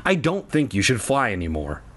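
A man's voice: wildly swooping pitch, normal speed, a bit bright. A man voicing a young girl who whines, pleads and bosses her friend around in an audiobook-style reading. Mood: worried. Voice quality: whiny, high. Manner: begging, demanding, impatient.

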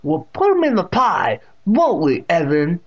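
A man voicing a leering old deaf great aunt in a storybook, loud, slow and deliberate. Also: high voice, huge pitch swings, gravelly, voice pushed up, exaggerated voice. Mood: menacing, playful. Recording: dull lo-fi audio.

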